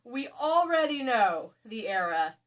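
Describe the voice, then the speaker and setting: A woman's voice: on the high side, big pitch swings, a little slow. A woman giving a comedic dramatic reading of a novel, jokey and prone to breaking off into casual asides. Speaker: co-host reading a romance novel aloud. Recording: faint background noise, amateur home video.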